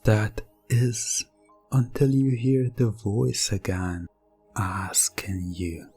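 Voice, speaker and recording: A man narrating scripted hypnosis ASMR in the second person, drawing out his phrases in a halting, suggestive way. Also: lowish voice, steady tone, mellow, slightly rough. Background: music.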